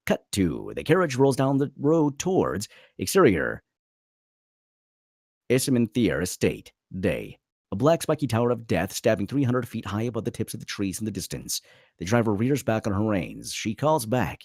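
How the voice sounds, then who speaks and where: A man's voice: on the low side, sing-song, normal speed, a little mellow, no room sound, clean studio sound. A man narrating a screenplay dramatically at a table read.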